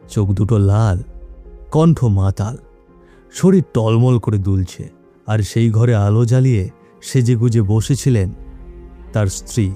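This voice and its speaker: A man narrating a scripted horror tale dramatically, with measured pauses. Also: mid-range voice, warm tone.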